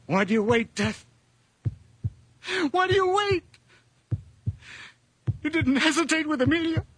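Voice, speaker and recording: A frightened old man in a radio play, crying out in a very high, shrill, piercing voice. He drags out his quavering pleas slowly, close and dry to the mic. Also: sing-song, fairly bright tone, dry recording, full character voice. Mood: pleading, fearful. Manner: frantic, wailing, quavering. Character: terrified old man pleading with death. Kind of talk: radio drama acting.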